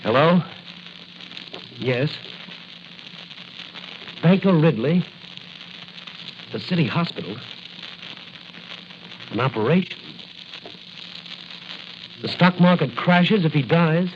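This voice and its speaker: High-voiced brisk radio crime-fighting hero. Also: male voice, lively intonation. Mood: brisk, confident. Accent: American. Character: brave young policeman secretly a masked crime-fighting hero. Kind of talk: 1940s radio acting. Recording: dull, noisy 1940s radio recording.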